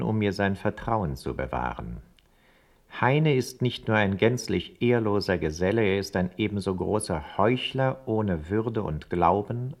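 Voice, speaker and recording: An actor playing a scheming secret informer, a man delivering a formal report with disdain in a radio play. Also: lowish voice.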